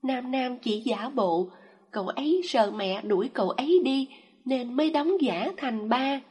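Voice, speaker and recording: A woman's voice: a little high, a little slow, darkish tone, breathy edge, pitched above the performer's own voice. A woman doing a sobbing dying child.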